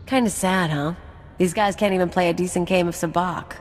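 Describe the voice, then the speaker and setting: An actress playing a brash, wry rogue and card cheat, talking in a casual, cocky way in a video game. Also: female voice, fairly low pitch, fairly even intonation, brisk, long reverb tail, soft background music, close to an everyday voice. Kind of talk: video game drama acting.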